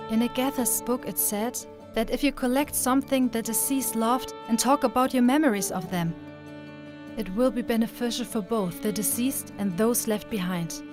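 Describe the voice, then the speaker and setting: A woman playing an earnest, expressive young female trainer in an anime-style manga dub. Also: fairly high pitch, moderate pace, lightly acted. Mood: gentle, earnest. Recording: music under the voice. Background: background music.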